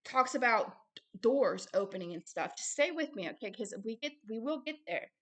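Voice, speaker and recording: A woman with a fairly high, sharp and forceful voice speaks as a religious host. She moves informally between reading aloud and personal commentary. Her delivery is earnest and insistent, with key phrases repeated for emphasis. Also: expressive, moderate pace.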